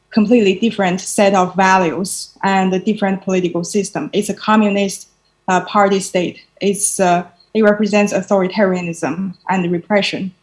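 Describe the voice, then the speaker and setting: Woman w presenting at a conference, lots of uh pauses, mid pitch. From China. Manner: halting, many filler pauses. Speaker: conference speaker.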